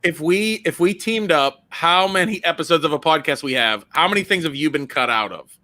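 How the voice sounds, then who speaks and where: A male comedian hosting a casual live-stream chat, animated and laughing often as he tells stories. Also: on the high side. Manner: animated, laughs often, quick bursts of storytelling. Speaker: comedian host; father.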